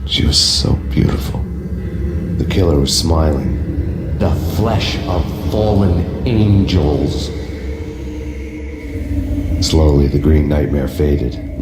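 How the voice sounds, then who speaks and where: An actor playing a brooding, world-weary cop, narrating in flat, deadpan hardboiled noir style. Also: male voice, extremely low pitch. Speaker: narrator, game protagonist. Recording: roomy echo with loud music score.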